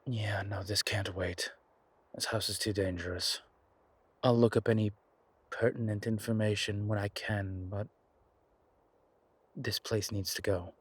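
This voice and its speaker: Man acting as a low, husky demon investigator. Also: fairly even intonation, unhurried, pauses often, no echo. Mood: grim, insistent. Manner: terse, commanding, colloquial. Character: gruff, urgent male incubus demon investigator, protective, wryly friendly. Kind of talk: immersive audio roleplay acting.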